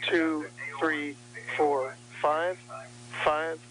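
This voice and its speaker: An American man making deliberate test announcements, heard through a two-way radio transmission. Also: fairly high pitch, little pitch movement, fairly bright tone, low-quality recording. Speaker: radio tester. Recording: received radio signal with hum and hiss.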